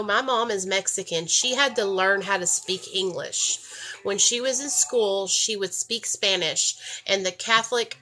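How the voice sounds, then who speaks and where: A woman's voice: medium pitch, bright, forceful tone, poor audio. A young woman from the rural United States, candidly telling her personal story to a group and addressing them directly. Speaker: speaker sharing her recovery story.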